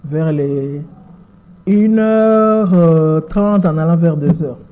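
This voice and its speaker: Measured Congolese woman in her sixties. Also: sits low. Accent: Congolese French. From Democratic Republic of the Congo. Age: 60s. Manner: measured, repeats words while searching for them. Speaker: interview guest, lawyer. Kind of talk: interview answers. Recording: tinny phone-like sound.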